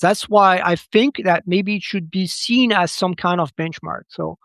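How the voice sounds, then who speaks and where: Guy on a podcast, highish voice, halting analysis, repeats words, dry sound. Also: gravelly, a bit bright, no echo. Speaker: podcast guest, macro commentator. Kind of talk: conversational analysis.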